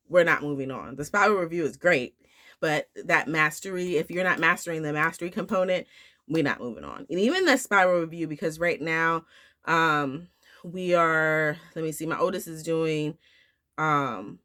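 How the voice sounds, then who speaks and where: A woman in her thirties talks casually to her viewers with a bright, forward tone. She sounds a bit nasal from a recent flu, and she rambles along with fillers and restarts. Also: low-pitched. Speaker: homeschool vlogger. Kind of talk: casual talk to camera.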